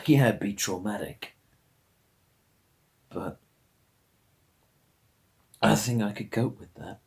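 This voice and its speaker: An actor playing a melancholy colourblind man, delivering an intimate, halting and understated dramatic monologue. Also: lowish voice, vocal fry. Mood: bitter, resigned. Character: melancholy colourblind man losing his regained colour vision.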